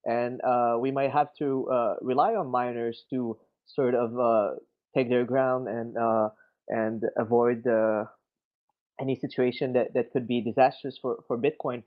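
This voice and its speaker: A man from Quebec with a French accent, musing aloud in English, halting and thoughtful, often restarting his sentences. His mid-pitched voice comes through a narrow, tinny voice-chat connection. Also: fairly even intonation. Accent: Québécois French. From Quebec City, Canada. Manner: hesitant, many fillers and restarts, thoughtful. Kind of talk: casual discussion.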